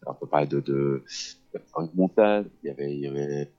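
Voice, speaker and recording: Very deep-voiced hesitant man. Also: fairly quick. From France. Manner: hesitant, many fillers and restarts. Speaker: guest, actor and theatre teacher. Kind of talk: casual radio interview. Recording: dull lo-fi radio recording.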